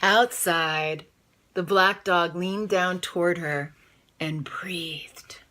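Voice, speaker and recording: A woman reading a children's storybook aloud in an expressive, dramatic way. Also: fairly low pitch, drawn-out words. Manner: animated, dramatic read-aloud delivery. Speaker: storybook reader. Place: in bed, indoors.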